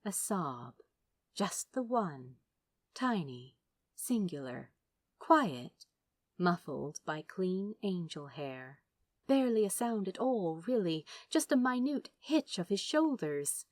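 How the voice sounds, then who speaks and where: A woman's voice: lowish voice, sing-song, takes it slowly, dry recording. A woman reading fiction aloud as a narrator, in a dramatic, emotive storytelling style. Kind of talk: expressive scripted audiobook narration.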